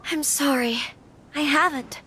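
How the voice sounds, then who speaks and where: An actress playing a gentle, troubled young noblewoman in a video game, speaking earnestly. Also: sits high, a little slow, a little hiss or hum. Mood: troubled, reflective. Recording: background music under the voice.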